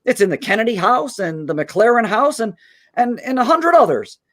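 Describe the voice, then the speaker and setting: A man playing an earnest, kind-hearted small-town loan manager in a live radio play, stammering and halting as he pleads. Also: extremely high pitch, sing-song, a bit bright, full character voice. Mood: anxious, pleading.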